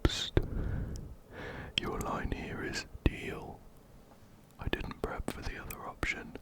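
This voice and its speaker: Slow man whispering in ASMR. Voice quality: breathy, unvoiced whisper. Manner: gentle, unhurried, repeats words softly. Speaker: ASMR game streamer. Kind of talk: whispered ASMR game playthrough and read-aloud. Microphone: close-up binaural microphone, ear to ear.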